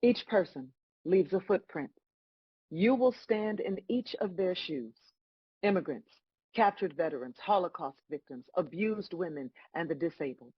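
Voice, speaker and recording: A woman playing God as a stern, composed judge, delivering measured, scolding lines in a dramatic script read. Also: on the low side, slightly rough, no room sound, dull audio. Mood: solemn sentencing.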